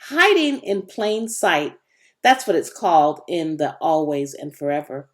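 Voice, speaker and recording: A woman's voice: low voice, animated, a little slow. A woman voicing a laid-back, folksy, wisecracking boy in a children's storybook reading. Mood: breezy, scheming.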